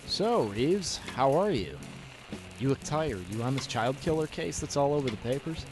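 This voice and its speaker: An actor playing a young, friendly speakeasy owner, chummy and easygoing, in old-time radio style. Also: male voice, medium pitch, expressive, moderate pace, over music, natural voice, low-quality recording. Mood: concerned, friendly. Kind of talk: 1940s radio acting.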